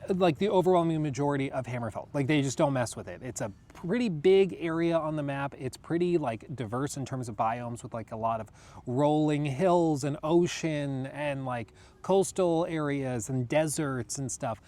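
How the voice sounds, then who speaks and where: A young American man talks through gaming news in a loose, filler-heavy way, often laughing at himself. His voice sits a bit high for a man, and he slips into a steadier tone when reading from a wiki. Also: darkish tone, a little hiss or hum. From United States. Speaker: podcast host. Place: outdoors on grass.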